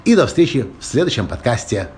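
A man's voice: mid-range voice, big pitch swings, fairly quick, darkish tone, slight background noise. A man with a Russian-Ukrainian accent hosting a motivational podcast, talking straight to listeners and repeating his key phrases for emphasis. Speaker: podcast host.

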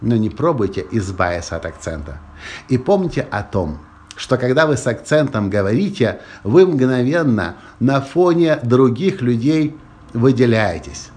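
Brief: male podcast host, Russian-Ukrainian accent, emphatic motivational monologue, medium pitch. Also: expressive, normal speed, a little mellow. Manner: emphatic, repeats key phrases, small restarts.

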